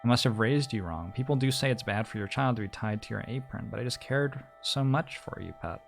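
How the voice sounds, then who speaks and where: Man reading as fretful, doting mother, gravelly voice. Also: medium pitch, fairly quick, faint music behind, natural voice. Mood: worried, pleading. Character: worried, doting middle-aged mother writing to her grown child.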